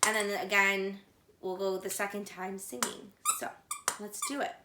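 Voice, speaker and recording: A woman reading a picture book aloud for young children as a storytime host, with simple, deliberate, singsong phrasing. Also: mid-range voice, flat delivery, background noise. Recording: echoey room.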